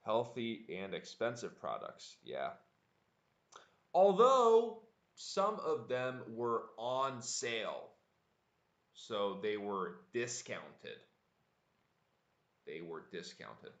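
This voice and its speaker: A man teaching English on a livestream, speaking deliberately and repeating words for learners. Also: mid-range voice, huge pitch swings, fairly bright tone, dull audio. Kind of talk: live online language lesson, answering viewer chat. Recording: echoey room.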